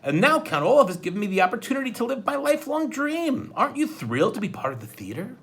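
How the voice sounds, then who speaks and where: A man's voice: very high-pitched, sing-song, fast talker, creaky, a bit bright, slightly rough, voice pushed up, full character voice. A man voicing a bubbly, gushing woman judge who is stage-struck, in a storybook read-aloud. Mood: gushing, delighted. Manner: gushing, exclaiming.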